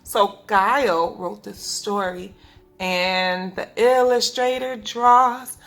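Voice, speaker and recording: A woman teaching preschoolers, reading a picture book aloud in an instructive way, speaking clearly to children and asking them questions. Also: mid-range voice, steady tone, slow pace, fairly bright tone, soft background music. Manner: instructive, asks the listeners questions, enunciates for children. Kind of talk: read-aloud lesson for young children.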